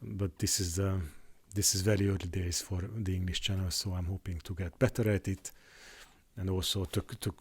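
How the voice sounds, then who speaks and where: A man with a fairly low voice, a native Hungarian speaker talking without a script. He speaks haltingly, stumbling over restarts and filling pauses as he works his way through each thought. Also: level tone, husky. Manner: halting, many restarts and fillers. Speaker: YouTuber. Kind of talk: unscripted monologue on faith.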